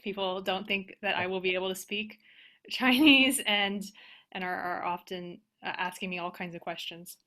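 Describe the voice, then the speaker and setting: Casting: American woman, bright forward voice, reflective and halting, informal panel talk over video call. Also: medium pitch, steady tone. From United States. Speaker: panelist, organist and composer. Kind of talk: casual panel discussion.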